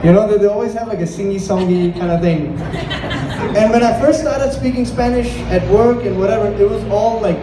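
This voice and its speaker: A man's voice: sits high, fairly quick. A man from Singapore performing a conversational stand-up comedy set, swearing freely and acting out bits of dialogue. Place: indoor comedy venue with audience. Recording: echoey, distant live room with crowd noise.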